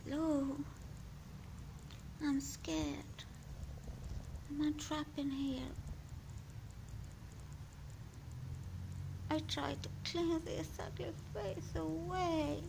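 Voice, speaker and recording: A woman's voice: little pitch movement, very slow, gravelly, long pauses, noisy background, full character voice. A woman playing a frightened, tearful trapped girl. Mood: scared, tearful. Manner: high, tearful, stammering.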